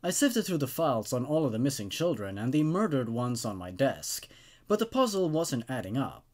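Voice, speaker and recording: A man's voice: medium pitch, sing-song, moderate pace, a little mellow. A man hosting horror story readings, moving between narration and informal, casual commentary. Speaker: host narrating horror stories.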